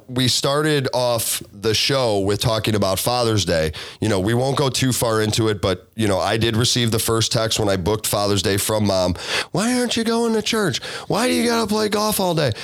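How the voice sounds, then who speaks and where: A man's voice: mid-range voice, expressive, moderate pace, creaky. A man hosting a podcast in a casual, chatty way, with affectionate teasing. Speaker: podcast host. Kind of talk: casual chat.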